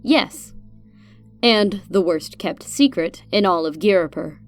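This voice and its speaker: A woman voicing a kindly older female renegade guide in an audio drama, measured and knowing. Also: fairly low pitch, animated, faint music behind. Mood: knowing, steady.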